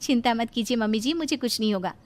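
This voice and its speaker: A woman's voice: a little high, quick pace, close to an everyday voice. A woman voicing a self-assured, headstrong young pregnant nurse in a cartoon moral story. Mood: confident, reassuring.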